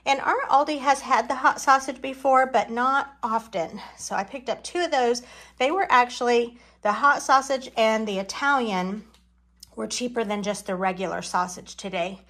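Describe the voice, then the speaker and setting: A woman's voice: on the high side, normal speed, fairly bright tone. A woman vlogger talking informally through a haul at home, with folksy, rambling asides. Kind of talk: chatty grocery haul narration. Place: home kitchen, indoors.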